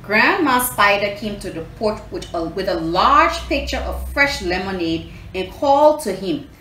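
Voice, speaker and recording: A woman reads a picture book to children at a slow pace with lots of melodic movement. She breaks off to explain and ask questions. The sound is roomy and slightly distant, with faint noise. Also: mid-range voice. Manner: expressive, explanatory, addresses listeners with questions. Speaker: children's storyteller. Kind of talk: storybook read aloud with asides to children. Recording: echoey room, distant mic, faint noise.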